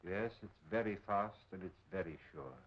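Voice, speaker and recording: Courteous gentleman sleuth, male, lowish husky register, formal and measured, 1930s picture. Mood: calm, probing. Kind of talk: 1930s film acting. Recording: dull, lo-fi 1930s film soundtrack.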